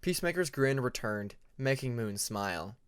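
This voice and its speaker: A man's voice: medium pitch, lively intonation, normal speed, clean tone, no echo, clean studio sound. A man reading a scripted story as an audiobook narrator.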